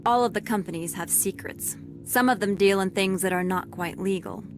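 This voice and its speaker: A woman's voice: mid-range voice, normal speed, lightly acted. Woman playing stern ex-marine security boss lady, video game, dry and formal, music going behind her. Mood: dry, matter-of-fact. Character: stern, fair-minded female security captain, weary ex-marine. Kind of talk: scripted video game acting.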